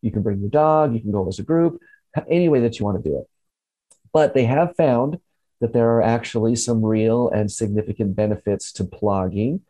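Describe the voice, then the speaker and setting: A man's voice: lowish voice, normal speed, mellow, no echo, rough recording. A man hosting a show segment from home over a video call, upbeat and fond of puns, often laughing. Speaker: radio show host. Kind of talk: casual scripted health segment. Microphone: remote call from home.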